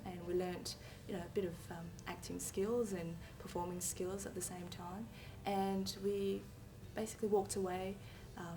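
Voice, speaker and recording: A woman's voice: fairly low pitch, creaky. A woman who performed with a community group, speaking upbeat with fillers. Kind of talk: casual interview. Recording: distant from mic, music behind.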